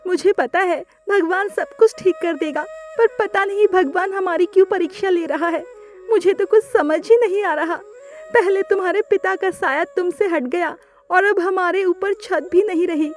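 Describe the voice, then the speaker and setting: A woman's voice: very high voice, normal speed, voice pushed up. A woman voicing an anxious, tearful mother bird in a children's cartoon, with a plaintive, lamenting manner. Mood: tearful despair.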